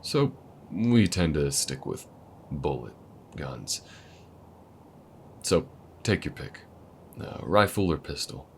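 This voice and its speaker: A man voicing a calm male alien gunslinger in an audio roleplay, speaking to the listener in a slow, folksy drawl with a patient, protective manner. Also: extremely low pitch, creaky, a little hiss or hum, heavily acted. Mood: patient instruction. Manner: patient, steady instructing.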